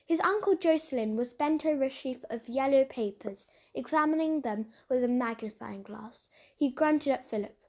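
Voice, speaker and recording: A woman's voice: sits high, normal speed, few pauses, a little mellow. A woman reading a children's novel aloud as storyteller. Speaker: storybook reader.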